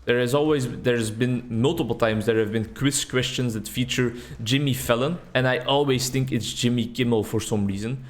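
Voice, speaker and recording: A man's voice: medium pitch, moderate pace, hardly any pauses. Rambling Dutch-speaking man commenting on a game. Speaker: gaming video host. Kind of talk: casual gameplay commentary.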